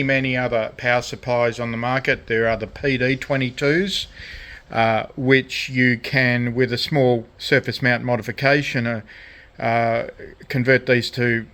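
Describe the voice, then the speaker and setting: A man talks through a hobby project in a casual, unscripted way. His delivery is halting and hesitant, with frequent pauses, at a medium pitch. He sounds like an amateur presenter showing how something is done. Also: a bit bright. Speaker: hobbyist presenter of a DIY electronics video. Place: indoors at a cluttered radio workbench.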